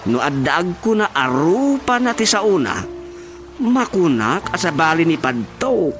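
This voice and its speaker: Teasing comic villager, very high voice, Ilocano accent, radio drama with prominent music behind. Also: male voice, big pitch swings, measured pace. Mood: needling. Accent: Ilocano Filipino.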